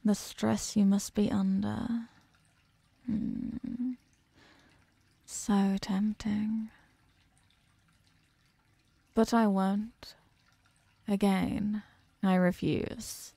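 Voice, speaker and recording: Woman voicing a slow, dark-toned, haughty eldritch goddess. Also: mid-range voice, level tone, gravelly, no echo, full character voice. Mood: menacing, tempted. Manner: imperious, condescending, deliberate. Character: ancient captive eldritch goddess, haughty, menacing, hungry destroyer. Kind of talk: slow horror audio roleplay monologue, ASMR.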